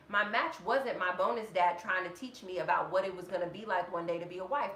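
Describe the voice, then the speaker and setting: A woman's voice: medium pitch, fairly bright tone. A woman in her thirties giving a motivational vlog talk, emphatic, repeating words and leaning on "like" fillers. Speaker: vlogger. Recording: echoey room with faint noise.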